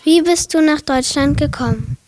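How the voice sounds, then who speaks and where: High-voiced woman interviewer. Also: moderate pace, darkish tone, no echo. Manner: brief, polite formal questions. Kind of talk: asking short interview questions.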